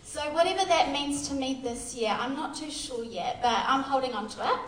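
A woman's voice: high voice, normal speed, crowd noise. A woman, giving a candid, self-deprecating church testimony full of fillers. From Australia. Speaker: youth worker sharing testimony. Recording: echoey hall, voice far from mic.

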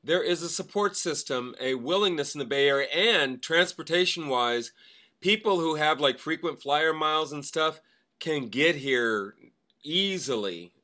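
Bright, higher-pitched man chatting casually. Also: animated, a little slow. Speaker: podcast co-host, actor. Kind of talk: casual podcast chat.

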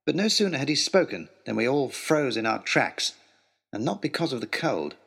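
A man narrating a first-person adventure story in an expressive, theatrical way. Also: medium pitch, normal speed, creaky, slightly rough.

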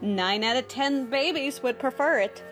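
A woman's voice: sits high, a bit bright. A woman playing a cheery, coaxing female announcer in a parody of a 1930s radio commercial, with music behind her. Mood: sweetly selling. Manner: coaxing, deliberate salesmanship. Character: sugary, cheery female radio advertisement pitchwoman.

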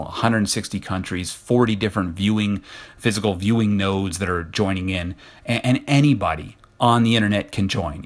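Male podcast host, American accent, fairly low register. Earnest, informal solo announcer with emphatic phrasing.

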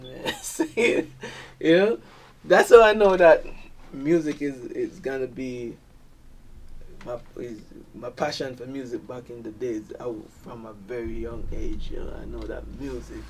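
A man's voice: mid-range voice, a little mellow, a little hiss or hum. Laid-back Jamaican man, distant mic. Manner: laid-back, peppers talk with "man" and "you feel me". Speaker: dancehall musician guest. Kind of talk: casual interview chat.

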